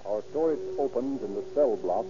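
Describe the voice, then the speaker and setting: An American man announcing and pitching a sponsor in a formal, emphatic 1930s radio style. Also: expressive, moderate pace. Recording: dull vintage radio recording with music.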